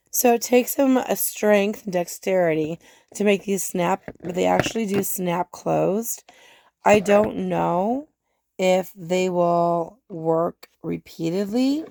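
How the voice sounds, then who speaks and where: A woman with a hoarse voice from laryngitis, chatting casually as she unboxes gardening supplies. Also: lowish voice, warm tone, no room sound, clean studio sound. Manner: chatty and rambling, many fillers. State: hoarse, losing her voice. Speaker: gardening vlogger.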